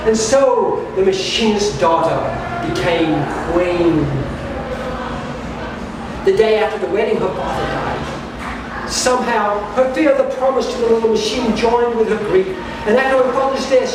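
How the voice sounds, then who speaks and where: A person reading a steampunk fairy tale aloud at a live event, now and then stumbling over and repeating words. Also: medium pitch. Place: live event space at a carnival. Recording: very echoey, noisy room, distant mic.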